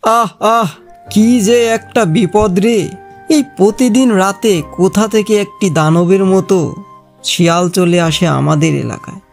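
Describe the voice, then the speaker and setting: A woman's voice: mid-range voice, takes it slowly, vocal fry, a little mellow, soft background music. A woman voicing a worried, plaintive villager in a cartoon fairy tale. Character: worried villager fearing a monster jackal.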